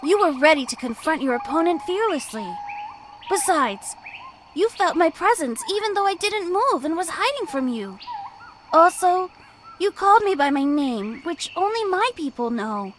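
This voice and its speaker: A woman voicing a small, clever male bunny for a children's animated story, earnest, friendly and persuasive. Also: extremely high pitch, normal speed, a little hiss or hum, voice pushed up. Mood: calm, reassuring.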